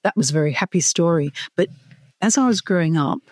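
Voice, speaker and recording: A woman's voice: lowish voice, fairly quick, a little mellow, no echo. A middle-aged woman from Hong Kong, a career coach, telling her life story in an interview, earnest and reflective, with small restarts.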